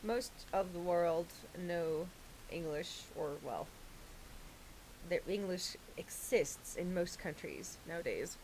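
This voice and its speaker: Low-voiced woman streaming. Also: expressive, a little mellow, slight background noise, low-quality recording. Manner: rambling, pauses with fillers and restarts. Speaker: livestreamer. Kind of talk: casual livestream chat while doing makeup.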